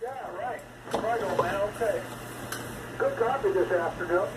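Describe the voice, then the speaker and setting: Higher-pitched American man on noisy shortwave radio. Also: normal speed, echoey room. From West Coast of the United States. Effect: heard over shortwave radio. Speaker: amateur radio operator. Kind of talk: casual ham radio conversation.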